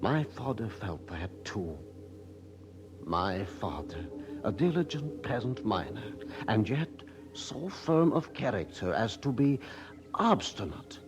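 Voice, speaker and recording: An older reformer, reflective narrator, lowish voice, lively intonation, music underneath. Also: male voice. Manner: measured, reflective storytelling. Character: older Martin Luther narrating his life, reflective. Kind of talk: radio drama narration.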